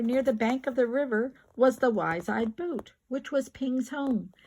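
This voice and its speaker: An older woman reading a children's picture book aloud like a grandmother, with expressive, drawn-out words and emphatic repetition. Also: on the high side, slight background noise, low-quality recording.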